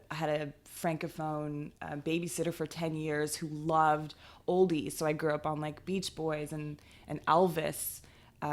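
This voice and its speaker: A woman in her thirties, a DJ and producer, chatting casually in an interview, laughing often and filling her talk with "like" and "you know what I mean.". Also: low-pitched. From Canada. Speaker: DJ and producer guest.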